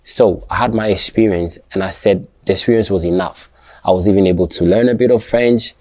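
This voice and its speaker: A young man, not a native English speaker, talking casually to his viewers, halting and restarting often and checking "you understand?". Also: low voice, darkish tone, no room sound. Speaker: vlogger. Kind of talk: casual personal talk to camera.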